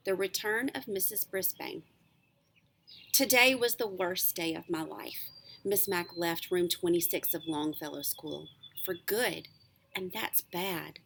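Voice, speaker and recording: Lady teacher reading a kids book out loud, really expressive, medium pitch. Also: slight background noise. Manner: animated, storytelling to children. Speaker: elementary school teacher reading aloud. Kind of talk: expressive children's book read-aloud.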